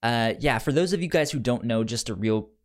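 Male podcast co-host, mid-pitched, casual explainer who trips over and repeats words. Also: expressive, a little fast. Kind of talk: casual pop culture podcast talk.

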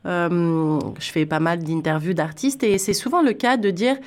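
A woman's voice: lowish voice, normal speed. A woman hosting a conversational radio interview, chatty and inclined to explain her thoughts as she goes. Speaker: radio host and music interviewer.

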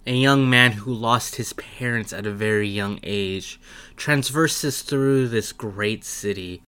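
A man's voice: fairly low pitch, slow, sharp tone, clean tone. A man hosting and narrating a tabletop role-playing game as game master. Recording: music under the voice. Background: music.

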